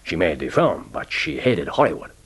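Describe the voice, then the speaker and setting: An actor playing a jovial, ruthless spymaster with an expansive, commanding, teasing manner in a radio drama. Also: male voice, low-pitched, very animated, normal speed, vocal fry, fairly bright tone, breathy edge, full character voice. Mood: storytelling. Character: jovial, ruthless Japanese male spymaster briefing an agent. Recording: orchestral film score behind the voice.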